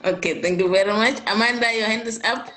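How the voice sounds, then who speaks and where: A woman's voice: medium pitch, fast, pushed and bright. A woman teaching an online class, coaxing and chatty, often checking in with "okay?".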